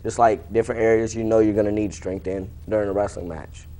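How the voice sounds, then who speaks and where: A man's voice: fairly low pitch, fairly even intonation, fast, mellow. A young American man around eighteen giving short, plain-spoken, casual answers as an athlete being interviewed. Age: young adult, about 18. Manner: laconic, plain-spoken, understated. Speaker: high school wrestler being interviewed.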